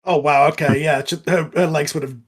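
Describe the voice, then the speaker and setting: A man's voice: fairly high pitch, fairly even intonation, a little fast, breathy edge. A man chatting casually through a film commentary with a wry, joking manner. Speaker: audio drama writer on cast commentary track.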